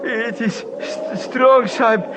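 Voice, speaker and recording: An actor playing a badly injured, deferential Himalayan guide, halting and weak with pain, in an old radio drama. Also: male voice, extremely high pitch. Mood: pained, frightened. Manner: halting, broken phrases, formal pleading. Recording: muffled, noisy old radio recording.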